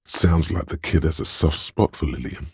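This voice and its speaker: An actor playing a smooth, sly inner voice of persuasion in a video game, narrating in a gravelly bass with a measured, insinuating delivery. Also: male voice, no echo, heavily acted. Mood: coaxing, knowing. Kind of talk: scripted second-person game narration.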